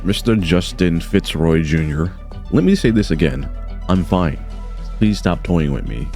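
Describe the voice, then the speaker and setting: Man playing a low-voiced, weary prison official. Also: animated, measured pace, a little mellow. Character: weary, guarded male prison official, low-ranking lackey. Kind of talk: amateur fan dub of game dialogue. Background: background music.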